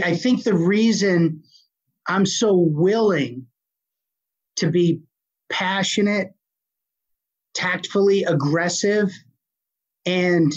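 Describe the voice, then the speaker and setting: A middle-aged speaker with a Boston accent, answering an interview question in a confident, forceful, passionate way. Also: mid-range voice. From Boston, USA. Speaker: guest, health advocate. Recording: dull lo-fi recording.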